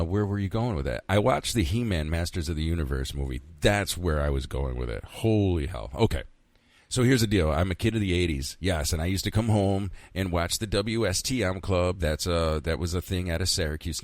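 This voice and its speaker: A deep-voiced man in his fifties hosts a solo podcast, rambling loosely from thought to thought, now and then cursing. His voice is close and dry, with no room sound around it. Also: no echo. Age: 50s. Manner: rambling, self-talking, frequent swearing. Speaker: podcast host. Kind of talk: casual solo podcast monologue.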